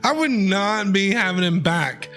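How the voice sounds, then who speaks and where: A man's voice: high-pitched, lively intonation, a little slow, a bit bright. A man streaming a game, giving casual, slangy, teasing reaction commentary. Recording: game music behind the voice.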